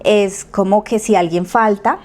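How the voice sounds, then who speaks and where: A woman's voice: mid-range voice, a little slow. Colombian woman telling her story. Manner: animated, acts out quoted speech, laughs easily. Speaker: guest. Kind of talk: casual storytelling.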